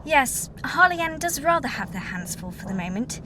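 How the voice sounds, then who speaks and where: A woman playing a brisk, courteous senior colony doctor in a science-fiction audio drama, with formal, old-fashioned phrasing. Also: sits high, very animated, noisy background.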